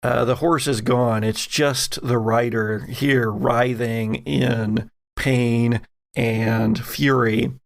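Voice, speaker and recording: A man running a tabletop role-playing game as host, narrating deliberately and dramatically in short phrases. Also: mid-range voice, fairly even intonation, creaky, no room sound. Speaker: game master and podcast host.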